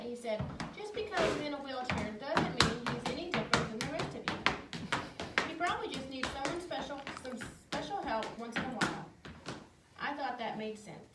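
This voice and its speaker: A woman reading children's picture books aloud in a simple, expressive storytelling way, with casual asides. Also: a little high. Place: outdoors in the wind, with animals. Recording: echoey, distant, noisy windy outdoor recording.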